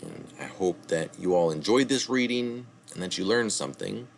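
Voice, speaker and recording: A man's voice: sits low, expressive, normal speed, gravelly, slight background noise. A man reading a health article aloud, now and then correcting himself and adding short asides. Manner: reads steadily, with small slips and asides.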